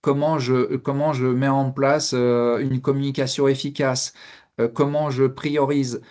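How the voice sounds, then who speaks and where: A man speaking spontaneously as a panelist in a professional discussion, halting and often repeating words as he explains. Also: medium pitch, flat delivery, darkish tone. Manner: hesitant, halting delivery with frequent repeated words. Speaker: fire service officer and trainer speaking as panelist.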